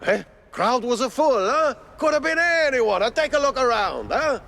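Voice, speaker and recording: A man's voice: extremely high pitch, sing-song, moderate pace, a bit bright, heavily acted. A man voicing an irritable, blustering cartoon circus boss with an Italian accent and an exclamatory, questioning manner. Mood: grumbling. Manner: exclamatory, tags phrases with "eh". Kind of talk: cartoon game voice acting.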